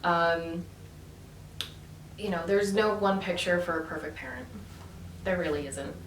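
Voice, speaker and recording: Female vlogger, low-ish voice, rambling casual chat; roomy, distant sound. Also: unhurried, slight background noise. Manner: chatty, many fillers and restarts. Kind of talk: casual storytelling vlog. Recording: echoey room from a distance with faint ambience.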